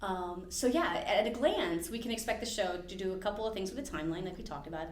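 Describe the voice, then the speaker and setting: A woman's voice: mid-range voice, expressive, fast. A woman hosting a library podcast, chatting eagerly about fantasy book lore. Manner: enthusiastic, digs into book lore, fond of asides. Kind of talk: casual chat. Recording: echoey room.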